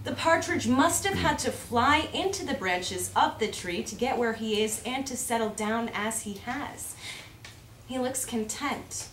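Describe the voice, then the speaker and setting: A woman giving a measured, contemplative live reading of reflective prose. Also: a little high. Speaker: reader at a readers theater event. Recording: echoey room with faint background noise.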